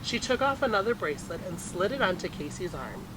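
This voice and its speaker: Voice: medium pitch, lively intonation, fairly quick, a crowd in the background. A storytime host reading a children's picture book aloud expressively, on a noisy home-made recording. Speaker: storytime reader.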